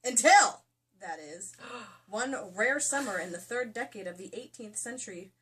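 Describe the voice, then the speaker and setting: A woman's voice: mid-range voice, animated, normal speed, a bit bright. A woman doing a comedic dramatic reading of a novel as host, giggly and theatrical, with mock-dramatic emphasis. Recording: distant mic, clearly noisy room sound.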